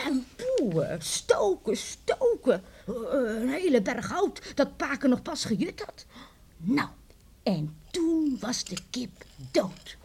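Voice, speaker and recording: An actress playing a village woman who tells eerie folk tales to youngsters in an old radio play, in a folksy, drawn-out way. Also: high voice, very animated, darkish tone, slightly rough, full character voice. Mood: eerie relish. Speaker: storyteller. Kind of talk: radio drama acting.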